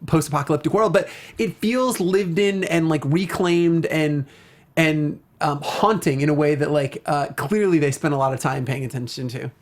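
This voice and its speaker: A man's voice: fairly high pitch, moderate pace. A man hosting a casual discussion show, speaking in an enthusiastic, effusive way. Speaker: talk show host. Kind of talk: casual film and video game discussion.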